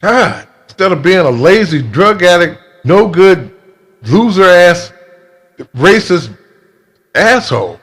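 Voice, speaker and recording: A man speaking in a casual monologue, with a halting, blunt and emphatic way of talking. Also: sits high, big pitch swings, a bit bright. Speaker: video creator telling a personal grievance.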